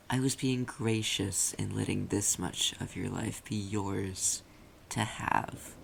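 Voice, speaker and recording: A possessive demon, played by a man, speaks in a deep-set, crackling voice for an ASMR roleplay. The delivery is very slow and deliberate, silky and teasing, as if savoring ownership. A light layer of noise sits behind the voice. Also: creaky, full character voice. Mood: possessive, coaxing. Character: possessive, silky demon claiming an owned soul.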